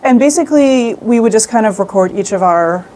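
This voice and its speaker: A woman's voice: fairly high pitch, animated, brisk, a little mellow. A woman talking casually as an interview guest, explaining things in an informal, reflective way. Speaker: interview guest, audio fiction writer. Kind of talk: casual chat.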